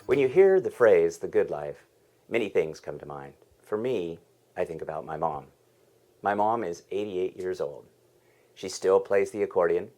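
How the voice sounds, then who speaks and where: A man's voice: sits low, expressive, moderate pace, a little mellow, faint music behind. A man hosting a podcast, earnestly reading a scripted introduction. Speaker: podcast host.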